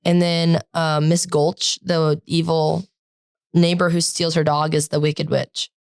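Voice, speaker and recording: A teenage girl co-hosting a podcast in casual chat, talking loudly and rambling with lots of "like" fillers. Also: sits low, flat delivery, takes it slowly, gravelly, smooth, dry recording, studio quality. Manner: loud, projecting, rambling with many "like" fillers. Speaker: podcast co-host.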